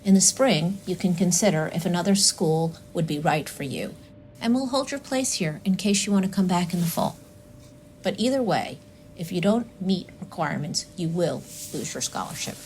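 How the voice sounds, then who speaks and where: An actress playing a stern, unsympathetic academic adviser in an audio drama, firm and official in manner. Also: female voice, fairly low pitch, expressive, normal speed, darkish tone, a little hiss or hum. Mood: stern, admonishing.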